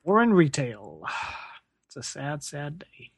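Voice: medium pitch, takes it slowly, breathy edge, no echo, studio quality, heavily acted. Someone doing a hard-done-by space plumber. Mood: resigned. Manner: plaintive, rambling, hesitant deadpan. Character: hard-done-by, whiny working-class space plumber, earnestly absurd. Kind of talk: improvised mock interview.

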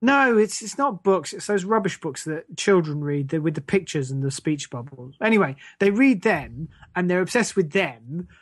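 A woman's voice: fairly low pitch, animated, normal speed, few pauses, no room sound, studio quality. A woman co-hosting a comedy podcast, chatting in a rambling, hesitant, faux-naive way. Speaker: podcast co-host. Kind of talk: casual comedy chat.

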